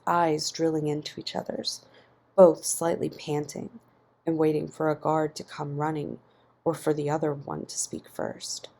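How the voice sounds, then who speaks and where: Woman reading a story out loud, low voice, kinda croaky and mellow. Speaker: fan fiction narrator. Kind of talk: scripted story narration.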